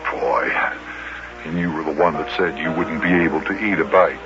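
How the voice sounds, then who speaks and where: A man's voice: rumbling low, normal speed. A man playing a folksy, teasing, chuckling companion to a boy in 1950s children's radio style. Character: good-natured, teasing grown man, a boy's companion. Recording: muffled old radio recording with music.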